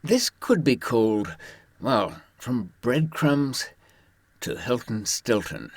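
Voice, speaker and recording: A man with a husky voice telling first-person stories and advice informally, with wry, understated humour. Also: medium pitch, sing-song, slow pace, no echo. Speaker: author and former prisoner giving advice.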